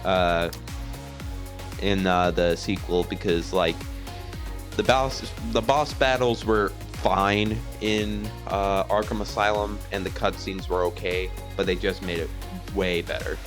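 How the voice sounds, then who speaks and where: A man talking casually as a gaming podcast guest, with a halting, hesitant delivery. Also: low voice, steady tone, over music.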